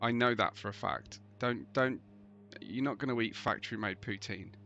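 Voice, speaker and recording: A man with a tired, gravelly voice commentating a sim racing live stream, chatting between bursts of race calls. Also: fairly low pitch, moderate pace, muffled recording, soft background music. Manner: chatty, laughing asides, excitable during race action.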